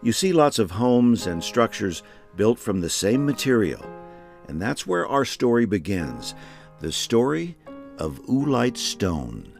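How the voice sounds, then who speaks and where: A man's voice: lowish voice, very animated, a little slow, vocal fry, over music. A man hosting a travel show, reading scripted narration. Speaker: host and narrator.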